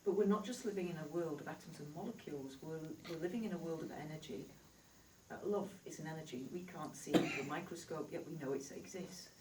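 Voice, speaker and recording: A woman with a Yorkshire accent speaks to an audience in a chatty, reassuring way. Her voice sits a little low and rings around a large reverberant hall, heard from some distance, with faint room noise behind. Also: quick pace. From Yorkshire, England. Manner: chatty, reassuring, laughs easily. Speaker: spiritual healer. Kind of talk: live stage talk to an audience. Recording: echoey hall recorded from afar.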